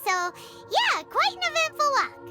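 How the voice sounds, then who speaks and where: A woman voicing a small, excitable, childlike fairy companion in a video game, with a squeaky, exclamatory delivery. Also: measured pace, faint music behind, heavily acted. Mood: excited, chatty. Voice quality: shrill, squeaky. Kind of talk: video game character acting.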